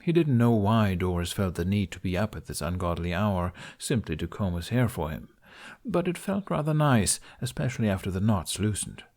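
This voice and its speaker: A male audiobook narrator with a fairly low voice. He reads scripted fantasy fiction as a storyteller. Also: animated, normal speed, darkish tone.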